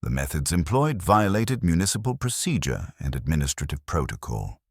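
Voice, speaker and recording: A man with an exceptionally deep voice narrates a detective story. His scripted delivery is formal and measured, yet the intonation moves around a lot. The sound is very dry. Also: big pitch swings, slightly rough, dry recording. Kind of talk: scripted audiobook-style narration.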